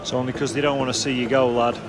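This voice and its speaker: A man's voice: medium pitch, level tone, quick pace, music in the background, lightly acted. A man playing a blunt but encouraging football coach in a game cutscene. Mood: urging. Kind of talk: naturalistic game cutscene acting.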